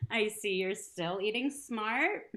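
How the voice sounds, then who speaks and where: A woman's voice: on the high side, very animated, very slow, fairly bright tone, heavily acted. A woman acting a friendly, teasing career woman and old flame in a sitcom table read, casual and playful. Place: video call at a table read.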